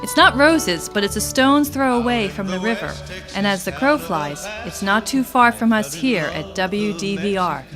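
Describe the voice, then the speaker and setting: A woman's voice: mid-range voice, expressive, normal speed, hardly any pauses, over music, natural voice. A woman playing an inquisitive, easily exasperated amateur philosopher in scripted comedic radio theatre. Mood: suggesting.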